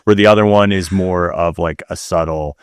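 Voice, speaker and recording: A man's voice: sits low, steady tone, moderate pace, clean tone, no echo, studio quality. A man co-hosting a casual talk show, chatting through listener questions in conversation. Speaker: podcast co-host.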